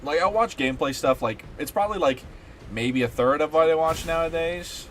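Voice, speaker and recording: A man's voice: a little high, fairly quick, slight background noise. Rambling, sweary young streamer guy. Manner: rambling and sweary, lots of fillers. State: stuffed up. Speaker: gaming streamer. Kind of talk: casual chat while playing a game.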